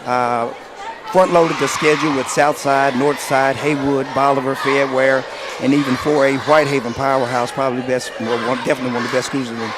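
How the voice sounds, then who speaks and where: A man, a basketball coach whose voice is raspy and hoarse from shouting, talking upbeat and emphatic in a halftime interview. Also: mid-range voice, flat delivery, fairly quick. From West Tennessee. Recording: noisy gym with crowd ambience.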